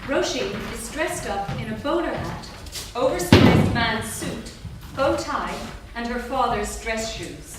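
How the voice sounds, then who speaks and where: A woman reads scene directions aloud in a somewhat high voice. She narrates from a script in a very reverberant theatre, with clear noise in the recording. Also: expressive, slow pace, recorded from a distance. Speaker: stage-directions reader. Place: theatre, staged reading.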